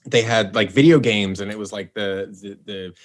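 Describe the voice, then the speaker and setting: A man's voice: lowish voice, moderate pace. An American man from Seattle, talking casually as an interview guest. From Seattle, USA. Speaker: guest, voice actor and audio series producer. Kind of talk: casual chat.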